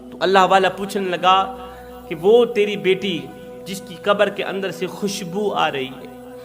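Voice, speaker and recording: A man's voice: high voice, faint music behind. A man preaching a fervent sermon, telling a moral story with repeated emphatic phrases and rising cries. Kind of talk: impassioned Urdu sermon with storytelling.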